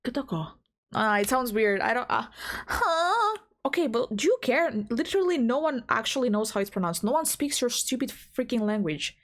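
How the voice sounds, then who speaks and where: A woman's voice: on the high side, very animated, moderate pace. A young woman from the Balkans with a slight Albanian accent, a VTuber scolding herself between recording takes, with a blocked nose. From Balkans, Southeastern Europe. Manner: frazzled, scolds herself, giggly, restarts lines. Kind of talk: casual self-talk between recording takes. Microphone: home recording mic.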